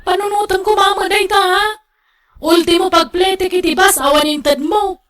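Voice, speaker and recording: A woman's voice: very high voice, steady tone, moderate pace, a bit bright. A woman with a northern Philippine accent playing a firm, blunt working wife and mother in a radio drama. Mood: angry, scolding. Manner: blunt, scolding.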